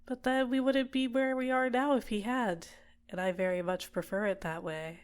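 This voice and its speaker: A woman voicing a possessive, manipulative villainess in an intimate ASMR roleplay, soft-spoken and coaxing, teasing and sometimes whispering to a captive. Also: fairly high pitch, steady tone, normal speed, smooth, lightly acted. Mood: smug, amused.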